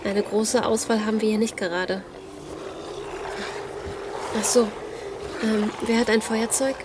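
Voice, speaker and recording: A woman's voice: a little high, steady tone, unhurried, natural voice. A woman playing a bold, resourceful zombie-apocalypse survivor in a German audio drama, tense and determined. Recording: noisy outdoor ambience sound effects.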